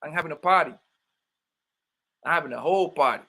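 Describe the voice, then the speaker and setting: A man's voice: a little high, fairly quick, vocal fry, pushed and bright, slightly rough. A young man with a New York accent hosting a casual livestream, joking loosely and calling out chat viewers by name. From born in Colombia, raised in Brooklyn, New York. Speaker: livestream host.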